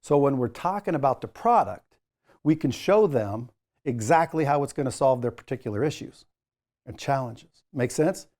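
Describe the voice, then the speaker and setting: Mellow-voiced male sales trainer, emphatic. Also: medium pitch, animated, moderate pace. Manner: emphatic, jokey asides, coaching tone. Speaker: sales trainer, course instructor. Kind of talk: instructional presentation to camera, direct address.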